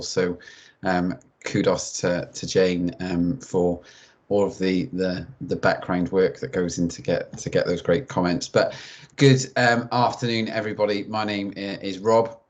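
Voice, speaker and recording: Deep-voiced Welsh man, hesitant. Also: level tone, normal speed. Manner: hesitant, frequent ums. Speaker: webinar speaker. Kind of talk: informal presentation.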